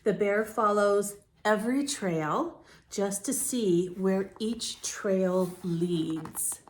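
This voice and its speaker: Slow-paced woman reading to young children. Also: mid-range voice. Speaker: picture book read-aloud host. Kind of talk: read-aloud storytelling for young children.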